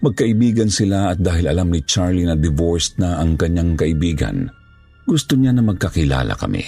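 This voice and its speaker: A man with a gravelly, husky voice reading a scripted first-person horror story as its narrator. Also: sits low, sing-song, normal speed, dark tone, faint music behind.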